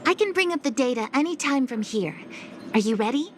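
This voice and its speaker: High-voiced, formal young official. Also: female voice, expressive, brisk, background noise. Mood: businesslike, earnest. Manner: formal, helpful, matter-of-fact. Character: diligent, formal female official, a records clerk. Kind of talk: English video game voice acting.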